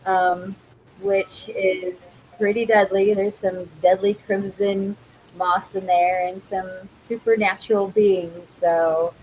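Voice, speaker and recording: A woman's voice: medium pitch, darkish tone, slight background noise, rough recording. A woman introducing herself as an author in a friendly, slightly hesitant panel chat. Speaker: author guest.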